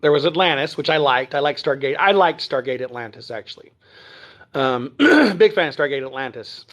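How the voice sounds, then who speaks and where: A man from Texas who speaks standard American, hosting a casual phone livestream from home and chatting through viewer comments with many ums and throat clears. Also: mid-range voice, very animated, moderate pace, fairly bright tone. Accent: none, trained standard American. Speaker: livestream host. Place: at home near Dallas.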